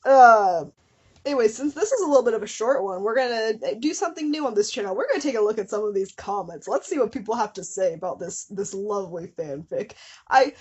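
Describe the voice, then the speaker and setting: Husky woman doing a comedic dramatic reading. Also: mid-range voice, animated, fairly quick, a little mellow. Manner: breaks into giggles and incredulous commentary. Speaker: host of a comedic fan fiction reading show. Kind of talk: dramatic reading with joking asides.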